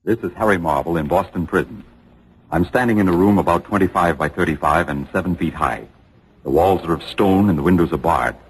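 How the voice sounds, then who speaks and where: Deep, husky radio correspondent. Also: male voice. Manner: crisp phrasing, factual, composed. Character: sober radio correspondent reporting from prison. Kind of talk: 1940s radio news reporting. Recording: old radio, long hollow reverb.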